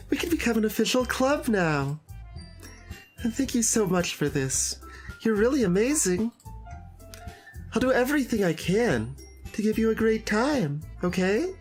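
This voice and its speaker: Man voicing a high-pitched gushing schoolgirl. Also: very animated, a little slow, long pauses, faint music behind. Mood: happy, gushing. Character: sweet, excitable teenage girl from a visual novel. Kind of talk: dubbing visual novel dialogue.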